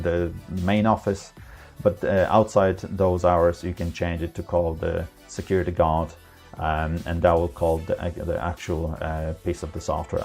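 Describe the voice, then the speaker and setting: A man with a deep, mellow voice walks through a product tutorial off the cuff. He explains each step haltingly and informally, with faint music behind him. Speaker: product trainer, tutorial presenter. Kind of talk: informal unscripted software tutorial.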